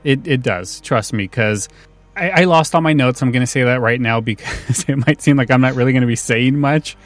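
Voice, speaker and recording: A man's voice: medium pitch, brisk, faint music behind. A man hosting a podcast in a casual, chatty style. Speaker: podcast host.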